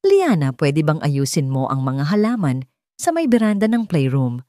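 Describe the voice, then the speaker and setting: A woman's voice: low voice, wildly swooping pitch, moderate pace, mellow, no room sound, studio quality. A woman voicing a wealthy male boss, a hurting but resolute father, in an audio drama. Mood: calling. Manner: questioning, decisive.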